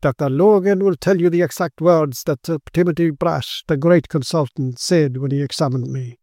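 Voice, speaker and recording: Man playing a plaintive, cursed father, pitched up. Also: moderate pace, dark tone, dry recording, clean studio sound. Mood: plaintive, earnest. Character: paralysed father, pitiful but clear-minded, under evil spell. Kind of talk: dramatised gothic horror story reading.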